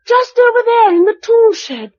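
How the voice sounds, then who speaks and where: Nine-year-old English girl for radio play: very high voice, brave, plain-spoken, dry close sound, slightly dull recording. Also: big pitch swings, normal speed, darkish tone, dry recording. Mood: subdued, matter-of-fact. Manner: plain-spoken, childlike, earnest. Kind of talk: radio drama acting.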